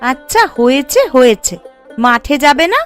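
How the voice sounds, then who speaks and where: A woman's voice: high-pitched, very animated, moderate pace, a bit bright, soft background music. A woman voicing a caring, busy, slightly tart young village wife in a cartoon, with a fussing manner. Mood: mildly peeved. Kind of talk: Bengali cartoon dialogue.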